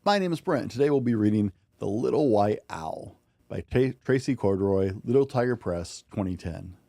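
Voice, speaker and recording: Male storybook reader, dark soft tone, gentle expressive bedtime delivery, dry sound. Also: on the low side, moderate pace, gravelly, no room sound. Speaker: podcast host and storybook reader. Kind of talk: expressive read-aloud of a children's picture book.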